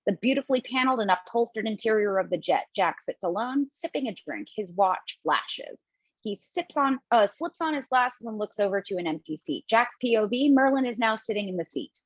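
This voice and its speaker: A woman's voice: medium pitch, breathy edge, no room sound, dull audio. A woman reading stage directions aloud in a table read, plainly, with casual asides.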